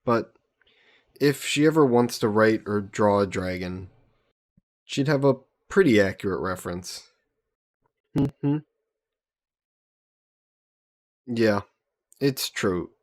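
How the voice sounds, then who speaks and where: A man voicing an easygoing, teasing young adventurer hero in an intimate fantasy roleplay audio drama, casual and gently coaxing. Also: fairly low pitch, expressive, slow, long pauses. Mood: fond, reminiscing. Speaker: voice actor.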